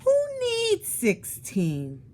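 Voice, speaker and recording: A woman's voice: fairly high pitch, huge pitch swings, drawn-out words, darkish tone, heavily acted. A woman voicing a grumpy, put-upon young schoolboy in a whiny, exasperated, emphatic storybook read-aloud. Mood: indignant.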